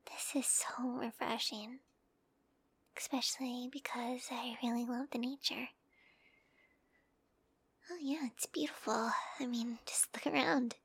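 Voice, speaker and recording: A young woman with a high, light voice speaks in a soft, giggly whisper, as if talking straight to the listener in an audio roleplay. She takes her time, drawing out her words slowly, close to the mic in a dry, dead room. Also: steady tone, vocal fry, slightly rough, no echo. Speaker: roleplay performer.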